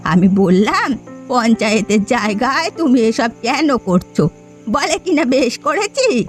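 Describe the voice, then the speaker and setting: A scheming old village woman from a cartoon, acted by a woman, with a high-pitched voice. She sounds aggrieved and spiteful, forever goading, over faint music. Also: big pitch swings, unhurried. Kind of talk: cartoon voice acting.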